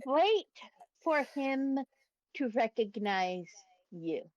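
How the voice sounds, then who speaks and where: A woman voicing a small, solemn rodent teacher who speaks in measured, formal, fatherly phrases in a tabletop game. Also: high voice, very animated, no echo, heavily acted, low-quality recording. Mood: grave, patient.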